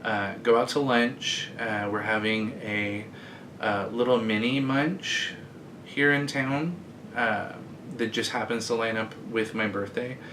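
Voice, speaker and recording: A man's voice: fairly low pitch, steady tone, slow pace, background noise. Chatty self-deprecating man in his thirties. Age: 30s. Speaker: vlogger. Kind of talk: casual direct-to-camera chat. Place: indoors, sitting against a bed frame.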